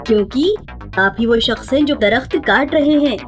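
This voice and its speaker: Woman as an earnest high-voiced young boy. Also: soft background music, natural voice. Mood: sly warning.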